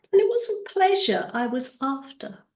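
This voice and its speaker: A woman performing a stage monologue as a proud, cunning aristocrat, poised, deliberate and disdainful. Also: sits high, darkish tone, distant mic, pitched above the performer's own voice, full character voice. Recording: echoey room.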